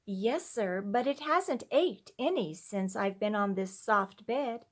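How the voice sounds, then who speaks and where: A woman voicing a frail, grateful sick little girl, soft, patient and polite, in a storybook reading. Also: medium pitch, animated, slow. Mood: patient, meek.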